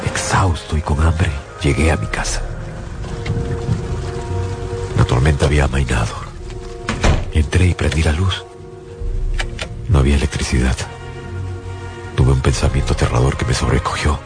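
An actor playing a haunted survivor who narrates his ordeal in a radio drama, halting and suspenseful. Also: very deep voice. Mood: grave, tense. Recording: dull sound under loud music.

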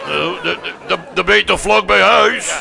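A man's voice: high voice, moderate pace, vocal fry, crowd noise. A man playing a chatty, muddled male phone-in host in a comic radio sketch, stammering and repeating himself. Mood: inquisitive.

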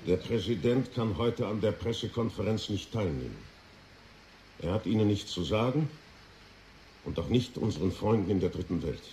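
Weary, blunt statesman played by a male actor for radio drama; low, mellow, set in an echoey room with faint hiss. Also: lively intonation, normal speed, close to an everyday voice. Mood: curt, official. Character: weary, blunt American foreign minister briefing the press. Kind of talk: 1980s German radio drama acting. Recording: roomy echo with faint hiss.

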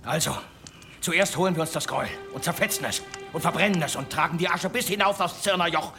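A man's voice: fairly high pitch, fast, gravelly, over music. A man acting a fierce, vengeful blacksmith with an aggressive delivery in a German radio drama.

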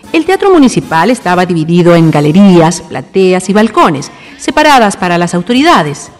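A woman reading scripted narration for a radio drama. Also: medium pitch. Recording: long reverb, music behind.

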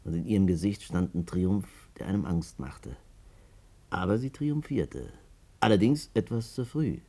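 A man's voice: low-pitched, expressive, unhurried, dark tone, husky, full character voice. A man acting a wry narrator in a 1950s radio drama, confiding a long saga to his listeners in theatrical fashion. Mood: dramatic, ominous.

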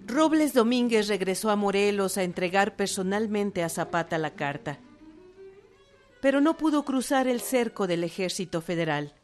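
A woman's voice: medium pitch, lively intonation, normal speed, darkish tone, soft background music. A woman narrating a historical radio drama from a script.

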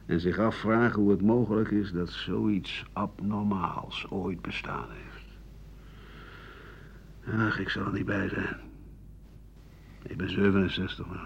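Gravelly, embittered old French writer. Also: male voice, on the low side. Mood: gloomy, resigned. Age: older. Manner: bitter, grumbling, halting, trailing off to murmurs. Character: embittered, weary 67-year-old French writer and doctor, pessimistic. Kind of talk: radio play monologue as interview answers. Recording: dull, hissy 1980 radio recording.

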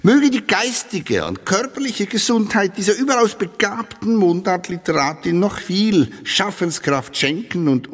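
A man with a Swiss German accent playing a grandiloquent literary speechmaker who declaims a mock-solemn eulogy with drawn-out emphasis. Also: sits high, exaggerated voice. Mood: solemn, pompous. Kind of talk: theatrical satirical eulogy. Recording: long reverberant hall.

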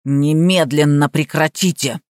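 A woman's voice: low-pitched, fairly even intonation, measured pace, darkish tone, no room sound, studio quality, voice pushed down. A woman voicing an exasperated husband in an audiobook, urgently barking orders. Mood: stern, nervous. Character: agitated, commanding husband, an exasperated man.

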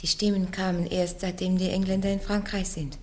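A woman's voice: lowish voice, flat delivery, fairly quick, natural voice. An actress playing a blunt, fearless young peasant woman on trial in a radio play. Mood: earnest, firm. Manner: blunt, defiant, plain-spoken.

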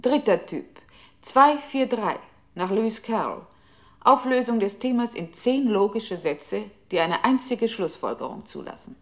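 Slow, precise computer control unit. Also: female voice, mid-range voice, animated. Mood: coolly procedural. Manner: impersonal, precise, reads numbers and instructions out. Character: computer's control unit, female, coolly methodical, issuing commands. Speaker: announcer of protocols and commands in a radio play. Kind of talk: clipped procedural announcing, 1960s German radio drama.

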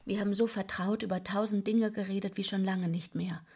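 A wife in a radio drama, baring a secret longing. Her voice is breathy and sits at a middle pitch. She speaks in a hushed, deliberate and intimate way, troubled underneath. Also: steady tone. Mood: hushed, wistful. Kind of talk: radio play acting.

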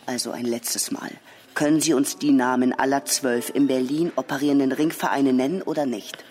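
A man acting as a stern 1930s exam chairman in a radio play. His medium-pitched voice is cold and formal. He is officious and dismissive. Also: fairly even intonation, normal speed, a little mellow, soft background music, close to an everyday voice. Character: stern, dismissive male examination board chairman in 1930. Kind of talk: German radio drama acting.

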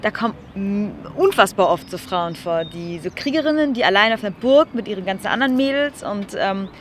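Young woman student, casual hesitant chat, mid pitch, faint background noise. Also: animated, fairly quick. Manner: thinking aloud, hesitant.

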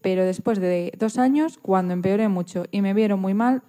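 A woman reads a scripted first-person account. Her voice is medium in pitch, with a dark, mellow colour. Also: moderate pace.

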